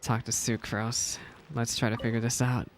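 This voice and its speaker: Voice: medium pitch, level tone, normal speed, a little hiss or hum. A video game streamer reading game text aloud and reacting with emotional commentary. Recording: game music behind the voice. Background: video game music.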